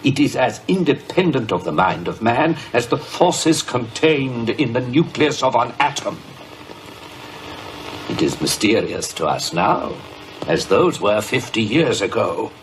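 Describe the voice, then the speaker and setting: An actor playing a grave, pompous occultist who speaks with fervent, menacing emphasis in a radio drama. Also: male voice, mid-range voice, big pitch swings, a little hiss or hum, rough recording. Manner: slow, portentous, emphatic. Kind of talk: radio drama acting, on-air debate.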